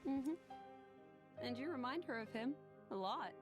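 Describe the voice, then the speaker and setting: A woman playing a gentle, thoughtful young female companion in a video game, speaking softly and with care. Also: high voice, expressive, a little slow, music in the background. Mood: fond. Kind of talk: video game cutscene voice acting.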